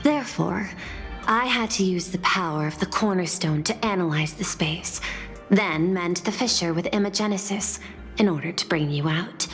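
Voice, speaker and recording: An actress voicing a poised, solemn noblewoman in a video game, speaking formally and articulately with courteous, measured phrasing. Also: medium pitch, lightly acted.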